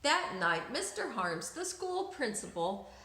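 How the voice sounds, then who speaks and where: A woman reading a children's picture book aloud in an expressive, dramatic way with drawn-out emphasis. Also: a little high. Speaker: storyteller, teacher reading aloud. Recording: echoey room.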